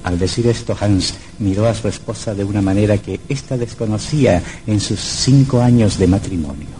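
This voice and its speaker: An actor playing a sinister storyteller, narrating an old radio horror drama in a theatrical, literary style. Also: male voice, fairly low pitch, normal speed, mellow, a little hiss or hum, natural voice, low-quality recording. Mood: ominous.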